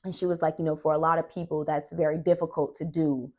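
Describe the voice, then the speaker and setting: A young woman chatting casually as a vlog host, telling a story in an animated, dramatic way with emphasized words. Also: deep voice, fast. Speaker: podcast host and aspiring actress.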